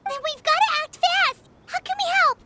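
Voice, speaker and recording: A woman voicing a tiny, excitable childlike pixie companion in a video game, squeaky, naive and exclamatory. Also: moderate pace, very noisy. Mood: urgent. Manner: exclamatory, questioning, cartoonish. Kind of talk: video game character dialogue. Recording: studio voice over prominent music.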